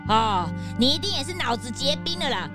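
A woman voicing an excitable, easily frightened young sidekick who complains and exclaims in a children's audio detective drama. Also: sits high, brisk, over music. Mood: freezing, complaining.

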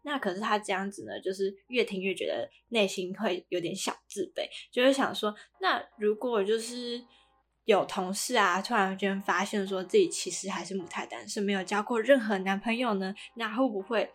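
A woman's voice: medium pitch, moderate pace, breathy edge. A woman with a Taiwanese Mandarin accent co-hosting a podcast, casually recapping a drama in a chatty, explanatory way. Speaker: podcast co-host.

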